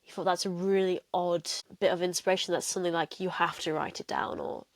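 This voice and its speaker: A woman's voice: fairly low pitch, fairly quick, no echo. A young woman hosting an interview, talking casually with lots of "like" fillers. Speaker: interviewer host.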